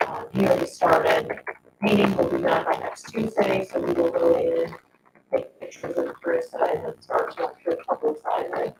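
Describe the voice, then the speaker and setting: Male meeting reporter, creaky and highly animated pitch, heard through heavy noise. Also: normal speed. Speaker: parks staff member giving updates. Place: indoor town board meeting room. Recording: very noisy, echoey room, distant mic.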